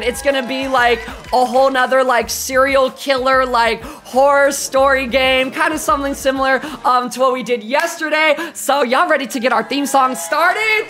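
A young man hosting a livestream with a notably high-pitched voice and faint music behind him. He chats casually and excitedly to his viewers, with a campy, effusive manner. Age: 20s. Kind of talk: hyped casual chat to live viewers. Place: small bedroom streaming setup, indoors.

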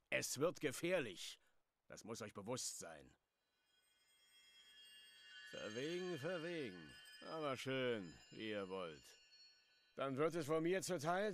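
A man's voice: a little high, fairly bright tone, full character voice. An actor playing a sardonic male officer of a guardian order in a game. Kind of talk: game voice acting.

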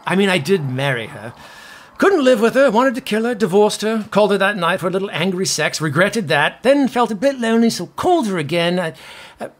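A man's voice: high voice, expressive, brisk. Washed-up male pop star, middle-aged, grandiose, swooping intonation, comedy podcast. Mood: flippant. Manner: theatrical, pompous. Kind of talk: audio comedy acting.

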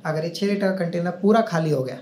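A man's voice: fairly high pitch, quick pace, dark tone. A young man performing a stand-up comedy set on a club stage, chatting and teasing the audience. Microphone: handheld mic on a stand. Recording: roomy live club sound with crowd noise. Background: audience laughter.

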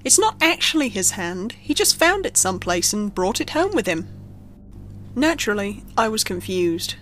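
A woman's voice: mid-range voice, big pitch swings, moderate pace, fairly bright tone, slight background noise, poor audio. A woman reading a scripted horror story as a narrator, telling it expressively. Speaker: creepypasta narrator. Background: background music.

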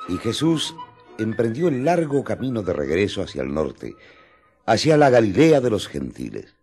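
A man's voice: lowish voice, sing-song, normal speed, a little mellow. A man narrating a radio drama in a solemn, theatrical storytelling manner. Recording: music under the narration.

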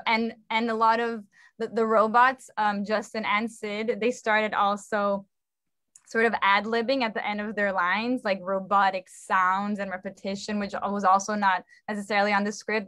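A young woman explains things as a theatre director would on a panel. Her voice sits at a middle pitch, and the video call sounds dry, with little room echo. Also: fairly bright tone. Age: young adult. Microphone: video call microphone.

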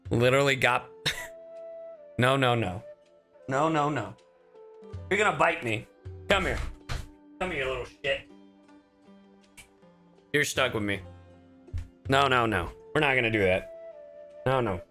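Male streamer, casual and sweary, bright tone, expressive range, light music underneath. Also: medium pitch, slow pace, pauses often. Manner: animated, sweary. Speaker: streamer and voice actor. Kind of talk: casual live game stream chat. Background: video game music.

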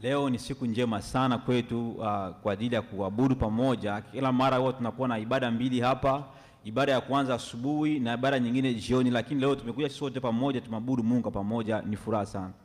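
A young man, a pastor, interpreting the welcome and announcements at a church service, speaking fluently and briskly. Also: medium pitch, steady tone. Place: large church sanctuary. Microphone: stand microphone at a lectern. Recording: long church reverb.

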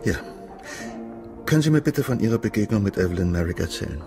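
An actor playing a dry, reluctant financial adviser in a German radio play, gravelly, reserved and matter-of-fact. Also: male voice, on the low side, animated, fast, music in the background. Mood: businesslike.

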